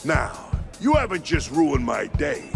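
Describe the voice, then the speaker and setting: An actor playing a crooked police captain in a noir cartoon game, theatrical, taunting and drawn out. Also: male voice, a little high, very animated, slow pace, creaky, over music, exaggerated voice. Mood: gloating menace.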